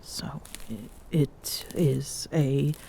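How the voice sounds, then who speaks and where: Woman acting as a slow, low-voiced, imperious Amazonian queen. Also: gravelly, a little hiss or hum, heavily acted. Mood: grave, regretful. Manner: slow, deliberate, hushed, teasing formal speech. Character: smitten, imperious Amazonian queen, playful and tender. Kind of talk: intimate ASMR roleplay acting.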